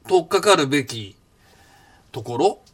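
A man's voice: on the high side, expressive, measured pace, fairly bright tone, husky. An older Japanese man hosting a reflective monologue, musing aloud in a rambling way with many fillers and restarts. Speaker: podcast host recounting his past.

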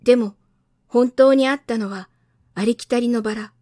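Woman as small boy prince, high voice, childlike, wistful, naive, storybook reading. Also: steady tone, normal speed, dark tone, no echo, studio quality, close to an everyday voice. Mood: dejected.

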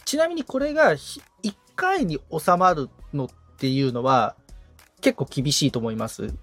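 A man's voice: on the high side, lively intonation, normal speed, a bit bright, soft background music. A man in a casual but polite consultation, tentatively thinking ideas through aloud as a trainee podcaster.

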